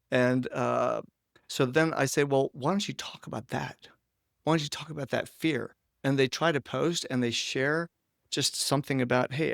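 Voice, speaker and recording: Gravelly-voiced male podcast host. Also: medium pitch, dry recording, studio quality. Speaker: podcast host interviewing a guest. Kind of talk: casual conversational interviewing.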